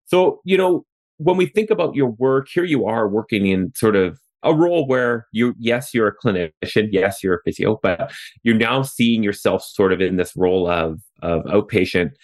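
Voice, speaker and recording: Low-voiced Canadian man hosting an interview. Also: animated, moderate pace. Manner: chatty, loose, many fillers and restarts. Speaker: podcast host. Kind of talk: conversational interview. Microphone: remote call.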